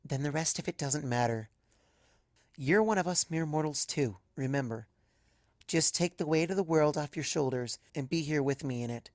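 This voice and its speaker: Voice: mid-range voice, moderate pace, a little mellow, no room sound, natural voice. A young pegasus mare, as a storybook narrator plays her, talks in an affectionate, playful way, both teasing and reassuring. The voice sits mid-range in a dry, close recording. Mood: tender, reassuring.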